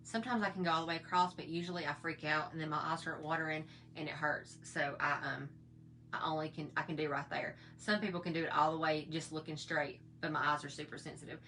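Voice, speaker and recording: A middle-aged woman talks through her makeup routine in a chatty, folksy, rambling way. Her voice sits a little low and sounds slightly hoarse. A faint hum runs under the recording. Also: steady tone, quick pace, sharp tone. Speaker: makeup vlogger. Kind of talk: casual makeup tutorial chat.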